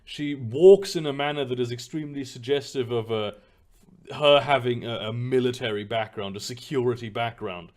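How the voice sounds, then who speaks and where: A man's voice: medium pitch, moderate pace. A man acting as game master, rambling and then reading out a mission brief. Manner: rambling, animated, reads mission briefs aloud. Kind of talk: running a tabletop game.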